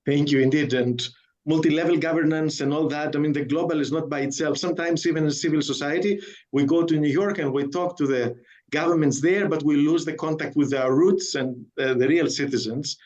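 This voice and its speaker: A man's voice: on the high side, a little fast, dull audio. A man moderating an online discussion, who politely invites participants to take the floor. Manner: polite, gently inviting others to speak. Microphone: video call.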